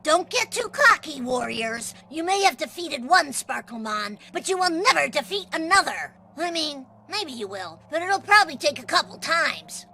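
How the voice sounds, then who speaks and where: A woman's voice: high voice, sing-song, sharp tone, slight background noise, exaggerated voice. A woman voicing a whiny, nerdy child rival in a cartoon video game, full of shaky bravado and petulant hesitation. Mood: cocky. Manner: petulant, halting, deflating bravado.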